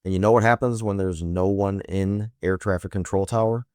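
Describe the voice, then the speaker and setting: A man's voice: low-pitched, fairly even intonation, a little fast, darkish tone, no room sound, clean studio sound. A man hosting scripted political commentary in a sarcastic, emphatic manner full of rhetorical questions. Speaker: host of a political news commentary show.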